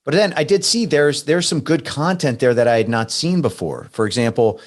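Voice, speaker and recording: A man's voice: medium pitch, normal speed. A man hosting a podcast in a casual, conversational way, rambling and feeling his way through his points. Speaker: podcast host.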